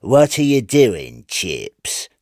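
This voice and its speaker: A man's voice: medium pitch, huge pitch swings, very slow, a little mellow, dry recording, studio quality, full character voice. Man doing a drawling, taunting talking rat. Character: small menacing talking rat, taunting and insolent.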